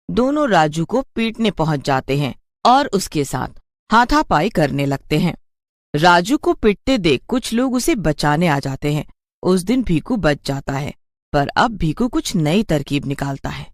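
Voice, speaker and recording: A narrator reading a scripted cartoon story in an expressive storytelling manner. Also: medium pitch, dry recording, clean studio sound.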